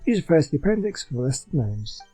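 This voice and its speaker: A man's voice: a little high, sing-song, fast, dark tone, breathy edge, faint music behind. A man doing a casual video game playthrough, reading in-game text aloud.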